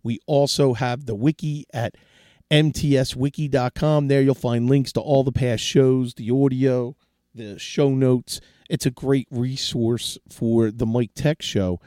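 A middle-aged man hosts his tech show in a relaxed, chatty way, often restarting his sentences as he gives advice. His voice is hoarse and fading from a sore throat, with a mellow, dark tone. It is recorded dry and close, with no room sound. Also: medium pitch, a little slow, no room sound. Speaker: tech podcast host. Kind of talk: casual solo tech talk.

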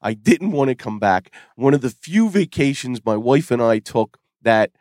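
A man's voice: mid-range voice, lively intonation, moderate pace, darkish tone, no echo. Sick middle-aged guy doing his tech podcast, losing his voice from a cold, chatty and rambly, dry close sound. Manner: chatty, rambling, with fillers and restarts. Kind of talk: casual solo podcast talk.

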